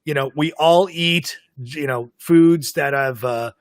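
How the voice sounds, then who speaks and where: A man hosting a live podcast, talkative and self-described as the loudest mouth of the group. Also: on the high side, slow, no echo, clean studio sound. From Canada. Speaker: podcast host. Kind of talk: casual live podcast chat. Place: home in South Florida.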